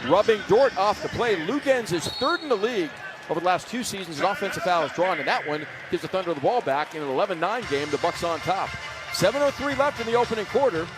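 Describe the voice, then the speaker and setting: A man's voice: high-pitched, expressive, fast, music in the background. A man with an American accent calling basketball live on radio as play-by-play announcer, in clipped vivid phrases full of catchphrases. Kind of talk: fast live radio sports commentary.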